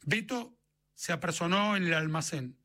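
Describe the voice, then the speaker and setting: Man, Argentine accent, story narrator, high pitch, bright forceful tone, scripted literary reading. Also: expressive, normal speed.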